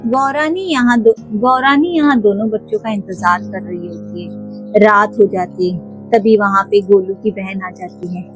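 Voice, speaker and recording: A woman's voice: mid-range voice, a little slow, music in the background, poor audio. A woman narrating a scripted moral story for children as a storyteller.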